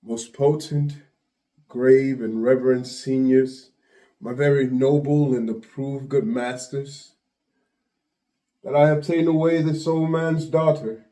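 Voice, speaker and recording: Man performing dignified Othello, measured and formal. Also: medium pitch, fairly even intonation, warm tone, lightly acted, rough recording. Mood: solemn, frank. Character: Othello, dignified male soldier defending his marriage. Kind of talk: theatrical Shakespearean monologue.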